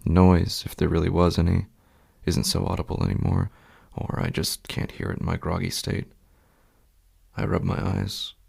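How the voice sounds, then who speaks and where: A man performs an uneasy, sleepless husband narrating a horror podcast in the first person. His voice is low and husky, thick with gravelly creak. He speaks in a hushed, deliberate way, leaving long pauses. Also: full character voice. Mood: groggy, wary. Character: uneasy, sleepless husband haunted by faint night sounds.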